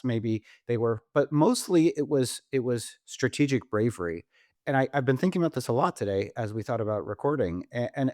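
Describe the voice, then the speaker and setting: A man's voice: medium pitch, darkish tone, no echo, studio quality. A man hosting a conversational business podcast, speaking emphatically and repeating phrases for effect. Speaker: podcast host.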